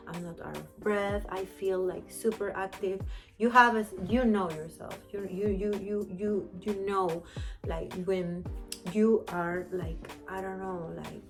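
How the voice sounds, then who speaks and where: Chatty Panamanian woman in her thirties. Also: medium pitch. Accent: Panamanian Spanish. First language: Spanish. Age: 30s. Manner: chatty, candid, frequent fillers like "you know". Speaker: vlogger. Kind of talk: casual chat to camera.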